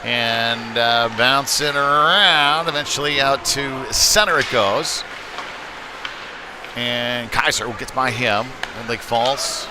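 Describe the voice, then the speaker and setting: A man doing live play-by-play hockey commentary in a halting delivery with frequent fillers. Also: mid-range voice. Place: indoor ice rink. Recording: noisy live rink stream.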